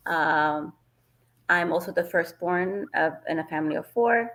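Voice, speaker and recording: A woman panelist introducing herself with a hesitant, halting delivery. Her voice sits on the low side with a gravelly, creaky edge. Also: fairly bright tone.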